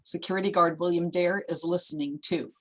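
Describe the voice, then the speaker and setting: Lower-voiced woman reading stage directions. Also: fairly even intonation, a little fast. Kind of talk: scripted narration, screenplay reading.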